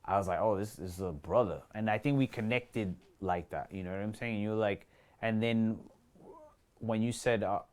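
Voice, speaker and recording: A man's voice: fairly low pitch, moderate pace, darkish tone. A man with a New York accent chatting casually as an actor being interviewed, slangy and repetitive, often acting out remarks as he goes. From New York City. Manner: slangy, repeats himself, acts out quoted remarks.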